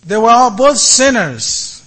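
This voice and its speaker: A man giving a sermon as a priest, speaking in short, deliberate phrases with many pauses. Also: very high-pitched, sing-song, sharp tone.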